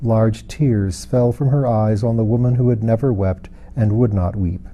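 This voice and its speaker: Very dark, mellow male audiobook narrator. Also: lowish voice, moderate pace. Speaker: audiobook reader. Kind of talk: literary scripted narration of a fantasy novel.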